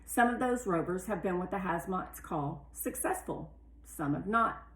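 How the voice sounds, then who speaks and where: A woman's voice: on the low side, animated, a little slow. A woman narrating as a curious, earnest robot rover longing to succeed, careful and precise, in a roomy storybook recording. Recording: roomy, echoey sound.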